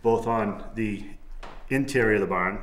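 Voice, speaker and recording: Thirties male contractor, low-pitched, methodical technical explainer, echoey barn with faint hiss. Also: moderate pace, a bit bright, distant mic. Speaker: masonry contractor. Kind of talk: explaining restoration work on a site tour. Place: large old barn and outdoor ruins.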